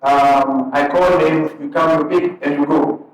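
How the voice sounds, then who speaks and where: A man with a Ghanaian accent speaks to a gathered crowd as their imam, easygoing and conversational, his thoughts arriving in short halting pieces. His voice sits a little high for a man. He is picked up from far off in a hall that rings with a lot of echo. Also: steady tone. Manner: conversational, unhurried, breaks phrases into short pieces. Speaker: imam and parish missionary. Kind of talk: addressing an audience at a community event.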